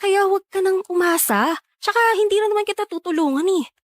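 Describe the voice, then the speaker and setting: High-pitched haughty older sister. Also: female voice, fairly quick, dry recording. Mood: scornful. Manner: condescending, scornful. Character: haughty, cutting older sister, belittling and bossy. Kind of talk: radio drama acting.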